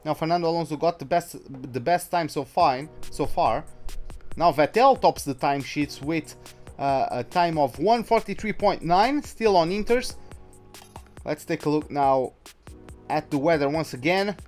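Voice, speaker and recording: A man's voice: fairly high pitch, animated, unhurried, darkish tone, soft background music. A man giving casual, chatty commentary as he streams a racing game live. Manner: chatty, greets viewers by name mid-commentary. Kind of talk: casual livestream gaming commentary.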